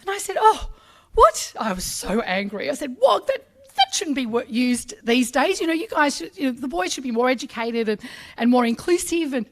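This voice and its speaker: A woman's voice: sits high, big pitch swings, moderate pace. A middle-aged Australian woman, raised speaking Arabic, giving a chatty, emotional personal speech at a public ceremony, acting out voices in her stories.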